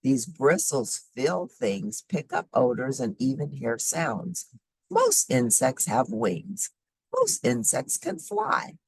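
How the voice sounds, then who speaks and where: A woman reading a children's nonfiction book aloud in a deliberate, explanatory way, emphasizing key words. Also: very deep voice, huge pitch swings, gravelly, a little mellow. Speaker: host reading children's science books aloud.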